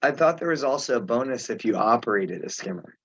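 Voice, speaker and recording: A man chairing an informal online meeting, speaking haltingly with pauses mid-sentence. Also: medium pitch, lively intonation, fairly bright tone, husky, no echo. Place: remote teleconference. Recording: dull, muffled conference call audio.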